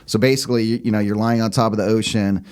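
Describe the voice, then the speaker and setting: A man with a somewhat low voice speaks as a podcast co-host. He explains things conversationally, in a loose and slightly halting manner. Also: fairly even intonation, darkish tone, clear, resonant tone. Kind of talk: casual chat, explaining.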